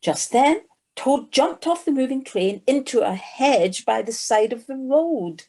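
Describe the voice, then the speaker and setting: A woman's voice: high voice, lively intonation, a little slow, a little mellow. A woman narrator reading scripted storybook narration for an audio play.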